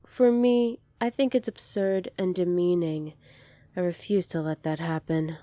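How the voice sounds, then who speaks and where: A woman playing a poised, kindly young alien princess in an ASMR roleplay, polite, formal and measured, soft-spoken with breathy moments. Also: on the low side, steady tone, no echo, close to an everyday voice. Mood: stern, authoritative.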